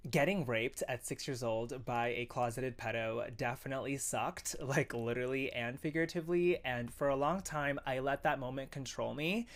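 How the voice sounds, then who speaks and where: A man's voice: medium pitch, moderate pace, few pauses. A man from Indonesia talking casually to the camera in short social media clips, with a chatty style full of "like". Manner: chatty, heavy use of "like".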